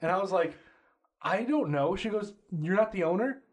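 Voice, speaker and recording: A man's voice: high-pitched, normal speed, breathy edge. A man co-hosting a casual comedy podcast, telling a story and acting out the voices of the people in it. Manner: animated storyteller acting out both sides of dialogue.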